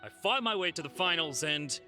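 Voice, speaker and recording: A man voicing a boastful, excitable thief in an anime game, dramatic and easily exasperated. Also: on the high side, brisk. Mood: grudging confession. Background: background music.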